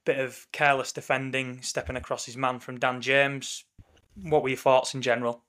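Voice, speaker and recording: Croaky man hosting a football podcast. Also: medium pitch, flat delivery, normal speed, a bit bright. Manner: chatty, wry, leads the talk. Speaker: podcast co-host. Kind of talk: casual football podcast chat. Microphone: remote call recording.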